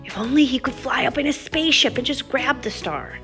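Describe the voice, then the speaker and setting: A woman's voice: sits high, a little fast, pushed and bright, faint music behind. A woman presenting to young children in the style of a lesson and storybook read-aloud, with a simple, guiding manner. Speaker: children's STEM teacher and presenter.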